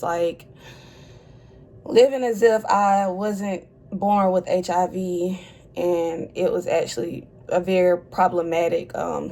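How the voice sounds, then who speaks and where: A woman's voice: on the low side, warm tone, slight background noise. A woman in her twenties speaking as an advocate, composed and articulate, telling her story in an interview. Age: 20s. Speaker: HIV advocate. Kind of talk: interview testimony.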